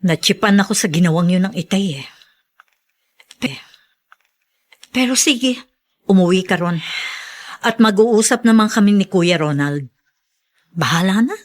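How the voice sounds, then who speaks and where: A busy professional woman and older sister in a radio drama. Her voice sits at a middle pitch, blunt and conversational, with an exasperated edge. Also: animated, measured pace, pauses often. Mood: resigned. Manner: scolding, sighing, conversational. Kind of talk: Tagalog radio drama acting.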